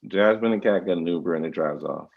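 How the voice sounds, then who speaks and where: A low-voiced man narrates a script at a table read, reading out stage directions. His voice is creaky with fry, and the recording is slightly dull and lo-fi. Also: fast. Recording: dull lo-fi sound.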